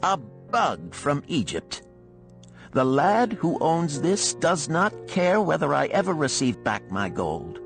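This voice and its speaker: A man performs a shrewd, proud older gold lender from an ancient parable. His delivery is raised and very animated, with declamatory, old-fashioned phrasing. Soft music plays underneath. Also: a little high, slow, gravelly, pitched above the performer's own voice, heavily acted. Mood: firm, confiding. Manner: archaic, emphatic, declamatory.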